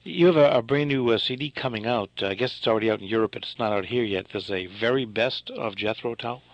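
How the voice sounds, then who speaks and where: A man's voice: lowish voice, quick pace, dry recording, lo-fi sound. A man hosting a radio interview, chatty and informal with hesitant fillers. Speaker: radio host.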